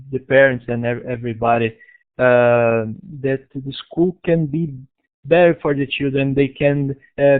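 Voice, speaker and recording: A man from Brazil with a Brazilian Portuguese accent, giving a talk about his school over an online conference in halting English with many restarts. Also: mid-range voice. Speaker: guest speaker, school founder. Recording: tinny online call audio.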